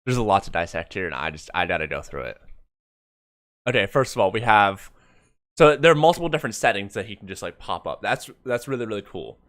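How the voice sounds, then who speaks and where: Low, clear-voiced man reacting on stream. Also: animated, fairly bright tone, dry recording, studio quality. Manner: halting, repeats words mid-thought. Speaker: streamer reviewing a comic. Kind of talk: casual live reaction commentary.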